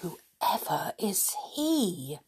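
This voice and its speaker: A woman's voice: sits high, animated, very slow, pitched above the performer's own voice, exaggerated voice. A woman voicing a busy, wary but polite mother of young children in a children's storybook. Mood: wary, puzzled.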